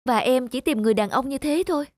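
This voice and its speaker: A woman playing a polite but exacting young woman in a cartoon dub, recorded with loud music underneath. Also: high voice, fast, no room sound, clean studio sound, lightly acted. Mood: apologetic. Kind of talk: animated cartoon dubbing.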